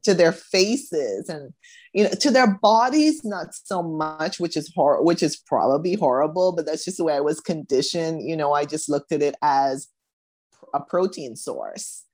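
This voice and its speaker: Woman from the Bahamas, middle-aged, low voice, thoughtful, hesitant. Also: lively intonation, measured pace, darkish tone. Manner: thoughtful, hesitant, many restarts. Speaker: guest. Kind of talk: casual interview conversation.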